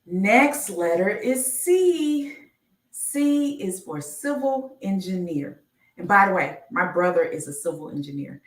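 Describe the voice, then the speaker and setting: A woman reading a children's picture book aloud on a live stream, enunciating deliberately like a teacher. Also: mid-range voice, expressive. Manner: slow, deliberate enunciation with teacherly announcements of each letter. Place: indoor room, live stream. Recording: roomy, echoey, distant microphone.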